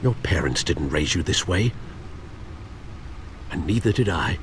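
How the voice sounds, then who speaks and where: An old butler and mentor in a video game. He has a low voice with expressive rises and falls, and reproves gently in formal, fatherly phrases. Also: background noise. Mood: reproving. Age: older. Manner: formal, measured, admonishing.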